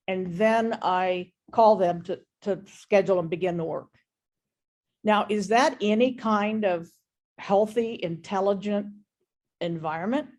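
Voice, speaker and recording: A woman's voice: medium pitch, a little mellow. A woman speaking frankly and with firm opinions in a conversational business talk over an online meeting, as a guest expert.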